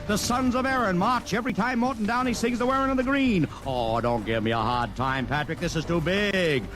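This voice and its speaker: A man's voice: sits high, lightly acted. An actor playing a brash, slangy publicity man in an old-time radio drama. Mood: insistent. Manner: brisk, slangy, wheedling. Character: brash fast-talking male publicity man, slick and pushy. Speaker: publicity agent. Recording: old radio recording with music behind.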